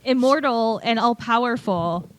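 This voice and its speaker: A woman's voice: on the high side, a little slow, no echo. A woman in a casual group discussion, critiquing a story informally and swearing freely. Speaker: podcast panelist and story critic.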